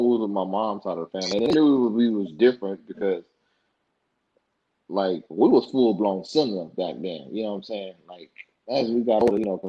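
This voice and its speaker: A young man from the United States, a podcast guest, telling stories casually and acting out past conversations in colloquial speech. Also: low-pitched. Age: young adult. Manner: animated, acts out past dialogue in colloquial speech. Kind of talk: casual interview storytelling. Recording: dull lo-fi podcast audio.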